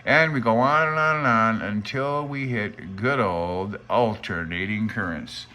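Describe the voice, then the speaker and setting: A man's voice: on the low side, a little slow, sharp tone. A man chatting informally as a hobbyist video host, rambling through technical material in a candid, self-deprecating way. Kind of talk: casual rambling talk reviewing an old handbook.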